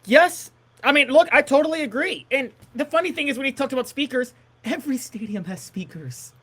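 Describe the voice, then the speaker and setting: A man with a high-pitched voice chats as co-host of a sports show. His intonation is very lively, moving widely up and down. The delivery is casual and conversational, with emphatic stress on his main points. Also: brisk.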